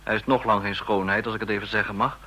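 A young intelligence man in a radio play, jealous and ambitious. His voice is bright and forceful with a husky edge, and he presses his points with open scepticism. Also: on the low side, flat delivery, fast, rough recording. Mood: suspicious, jealous. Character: jealous, ambitious young male counter-espionage agent in love. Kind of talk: Dutch radio drama acting.

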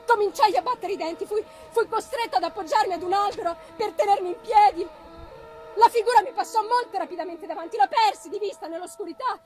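A woman performing an anguished, haunted young male scientist in a dramatic, halting gothic literary reading, emphasizing and repeating words. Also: very high-pitched, a little mellow. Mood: anguished, horrified.

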